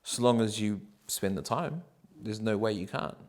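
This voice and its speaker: A man, answering interview questions in a relaxed, rambling way with many ums and likes. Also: lowish voice, normal speed. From New Zealand. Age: 30s.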